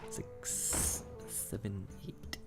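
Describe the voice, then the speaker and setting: A man giving casual, laid-back commentary while playing a card game, thinking aloud and chuckling at his own jokes, with game music behind. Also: drawn-out words. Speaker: gameplay video creator.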